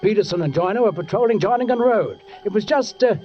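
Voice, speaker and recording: Dramatic, high-pitched police sergeant, old radio. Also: male voice, expressive, soft background music. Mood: grim, ominous. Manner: measured, dramatic, with pointed pauses. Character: suspicious, deliberate police sergeant narrating a murder case. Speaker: actor in a radio mystery. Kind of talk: 1940s radio drama acting. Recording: dull 1940s radio recording.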